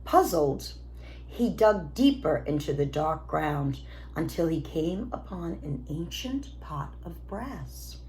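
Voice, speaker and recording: A woman narrates a children's storybook like a teacher reading to pupils. Her delivery is expressive and slow, with lots of rise and fall in pitch. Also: a little hiss or hum, low-quality recording.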